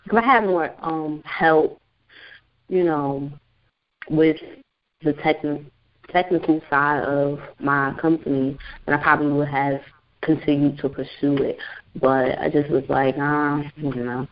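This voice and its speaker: A woman's voice: sits low, steady tone. Chatty young woman on muffled call-in audio. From Newark, New Jersey. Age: 20s. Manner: chatty, loose, with many fillers and quick laughs. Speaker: guest author and internet radio host. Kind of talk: casual phone interview chat.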